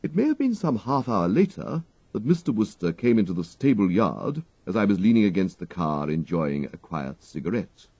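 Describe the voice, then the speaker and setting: Low, husky English man narrating with lively intonation. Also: normal speed, hardly any pauses, no room sound. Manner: formal, precise. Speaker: audiobook narrator. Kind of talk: dry comic scripted narration in character as a valet.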